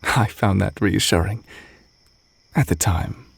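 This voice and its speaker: A man acting a gentle, adoring witch boyfriend in an intimate ASMR roleplay, soft-spoken and tender with a light teasing manner. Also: on the low side, steady tone, slow, gravelly, slightly rough, no echo, exaggerated voice. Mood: gentle, reflective.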